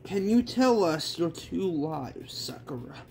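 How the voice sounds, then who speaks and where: Guy doing a shady cop asking questions, manga story, slow, demanding, a little background noise. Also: fairly high pitch, sing-song, gravelly, darkish tone, heavily acted. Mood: questioning. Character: crooked, violent male police interrogator in manga story.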